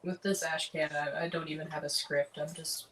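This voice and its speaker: A quiet-voiced woman chatting casually as a guest artist on a stream, laughing often. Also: sits low, flat delivery, normal speed. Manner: soft-spoken, laughs often. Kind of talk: casual chat. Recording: noisy stream mic.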